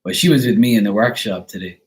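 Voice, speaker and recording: Man from Bermuda, stream host, loose chatty rambler, a touch low in pitch. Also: fast talker. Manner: rambling, chatty storytelling with frequent fillers. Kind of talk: casual chat.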